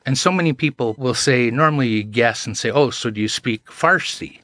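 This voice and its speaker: A man's voice: medium pitch, normal speed, fairly bright tone, dry recording. A man, a podcast guest telling anecdotes in an earnest, self-deprecating way. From Canada. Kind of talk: casual chat, storytelling.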